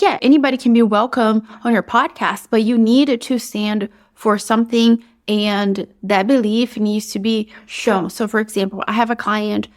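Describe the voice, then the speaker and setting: A Brazilian-accented woman in her thirties talks through a solo podcast episode. Her voice sits a little high, and she speaks in an upbeat, chatty way, filling her sentences with casual "like"s. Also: a little mellow. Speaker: podcast host. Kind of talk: solo podcast advice talk.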